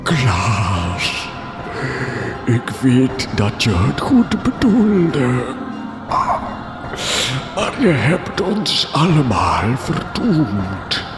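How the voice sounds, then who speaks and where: A theatrical old count from a gothic radio comedy. He speaks extremely slowly with a swooping pitch, in an echoing space over music. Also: wildly swooping pitch, heavily acted. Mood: grave, doom-laden. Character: aged male count, theatrical suspected vampire, evasive. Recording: echoing room, music underneath.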